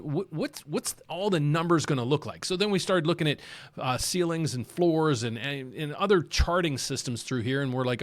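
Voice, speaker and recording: A man with a Southern accent hosting a mortgage channel, talking casually through market charts and repeating himself as he goes. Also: mid-range voice. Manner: rambling, repeats words, talks through charts on screen.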